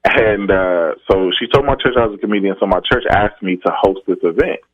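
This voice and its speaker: A man's voice: sits low, a little fast, no room sound, highs cut off. A man, a stand-up comedian, chatting casually on a podcast about his start, rambling with frequent laughs. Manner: laid-back, rambling, laughs often.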